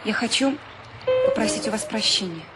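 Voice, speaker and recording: A woman's voice: a little high, huge pitch swings, moderate pace, very noisy. An actress playing a wilful, teasing young woman, coquettish and stubborn, in a comedy radio play. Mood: coaxing.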